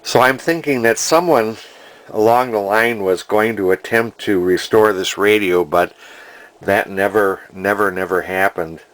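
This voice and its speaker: Male hobbyist narrator, slightly low pitch, plainspoken and unhurried in manner, unscripted. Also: a bit bright. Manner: deliberate, folksy, plainspoken. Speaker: antique radio collector and restorer. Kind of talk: casual unscripted video narration.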